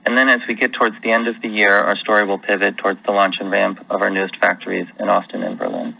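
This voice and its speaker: A man's voice: on the low side, flat delivery, fast talker, gravelly. A man speaking as a company finance executive, delivering prepared remarks on an earnings call. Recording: dull lo-fi conference call audio.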